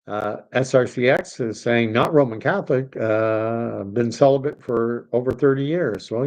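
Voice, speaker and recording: A man's voice: mid-range voice, darkish tone, rough recording. A middle-aged man from Canada hosting a live stream, rambling with many fillers as he reads chat comments, his voice wearing out. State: losing his voice.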